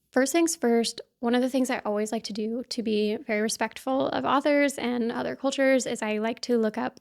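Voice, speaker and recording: A woman from talks straight to her viewers in a casual book vlog. Her voice sits a touch on the high side, and her manner is chatty and upbeat, full of little asides. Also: vocal fry. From Kansas City, US. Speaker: book vlogger. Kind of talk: casual chat to camera.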